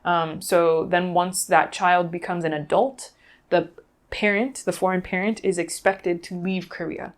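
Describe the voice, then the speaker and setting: A woman being interviewed, recounting cases conversationally in a thoughtful, halting way. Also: fairly low pitch, steady tone. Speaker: interview guest.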